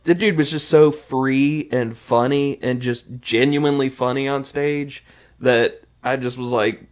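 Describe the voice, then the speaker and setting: A man with a somewhat nasal, fairly high voice, co-hosting a podcast and telling relaxed stories in casual chat. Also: measured pace. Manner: easygoing, anecdotal storytelling. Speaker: podcast co-host.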